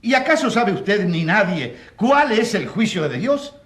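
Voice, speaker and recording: A man's voice: high voice, sing-song, measured pace, a bit bright, echoey room, full character voice. An actor playing a pompous, indignant male prosecutor in a courtroom radio drama, challenging with rhetorical questions.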